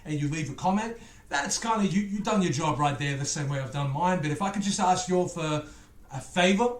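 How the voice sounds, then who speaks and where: A man from England with an Australian accent, talking to his viewers in a chatty reaction video. His voice sits fairly high for a man, and he rambles and jokes as he goes. The room around him rings with a lot of echo. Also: lively intonation, fairly quick. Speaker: music reactor. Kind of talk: casual reaction video chat.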